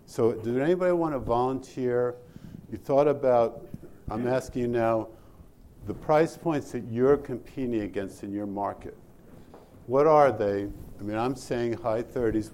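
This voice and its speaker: A man's voice: medium pitch, moderate pace, warm tone, a little hiss or hum. A man presenting pricing data from slides at an industry session, asking the audience for input and restarting sentences often. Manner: explains slides, polls the audience, many restarts.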